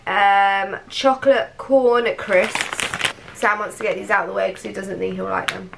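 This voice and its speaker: A woman in her twenties chatting casually and expressively to a vlog, croaky from a cold and sore throat. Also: mid-range voice, fairly even intonation, measured pace. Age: 20s. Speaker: vlogger. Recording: clear background noise.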